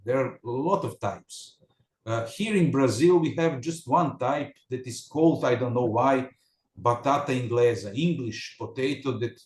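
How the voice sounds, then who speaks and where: A man from Serbia, speaking English in a casual class conversation and pausing often to find his words. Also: mid-range voice, roomy sound. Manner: halting, searches for words. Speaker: student in an online English class.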